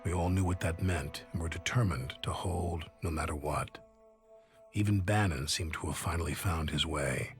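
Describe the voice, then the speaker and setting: An actor playing a soldier who recounts a war from memory in somber, measured, scripted narration. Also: male voice, deep voice, soft background music, lightly acted. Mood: somber recollection.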